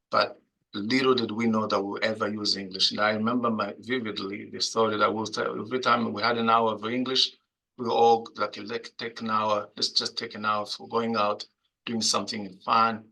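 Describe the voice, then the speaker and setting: A man from the Congo with a Congolese accent, introducing himself as a panelist in a webinar over a video call, halting, with many fillers and restarts. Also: lowish voice, level tone, gravelly, bright, forceful tone, slightly rough, low-quality recording. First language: Kinyamulenge.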